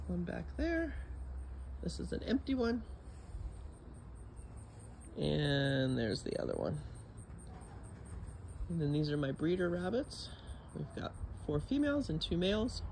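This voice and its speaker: Texan man, lively pitch, casual homestead vlogger; outdoor phone audio, traffic behind. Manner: relaxed, chatty, lively rises in pitch. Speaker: backyard homesteader giving a garden tour. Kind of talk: casual walking garden tour. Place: outdoors in a suburban backyard garden. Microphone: phone camera held while walking. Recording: outdoor phone audio with clear background noise.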